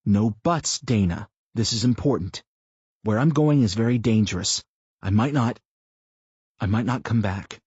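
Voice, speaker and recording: A man's voice: lowish voice, measured pace, darkish tone, slightly rough, dry recording, dull audio. A man playing a weary, earnest father. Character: troubled, earnest middle-aged father.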